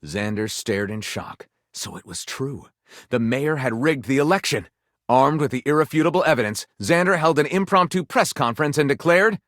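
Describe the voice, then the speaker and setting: Game narrator: theatrical, salacious, melodramatic storyteller, very lively intonation, dry studio sound. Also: male voice, mid-range voice, dry recording. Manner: theatrical, suggestive, grandiose. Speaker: game character voice actor. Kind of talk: dramatic scripted narration.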